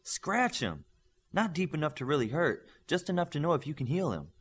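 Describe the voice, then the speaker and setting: A young female healer with superpowers, acted by a male reader, speaks in an audiobook story. She is blunt and prickly, matter-of-fact in delivery, and pitched up with lively swings. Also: normal speed, husky, heavily acted. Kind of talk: audiobook character voice.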